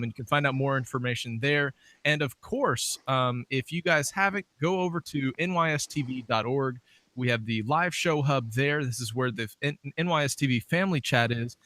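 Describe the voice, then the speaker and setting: A man hosts a show in a casual, conversational way. His voice is bright and forward, at an ordinary male pitch. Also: normal speed, no echo. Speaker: show host. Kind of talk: casual hosting with announcements.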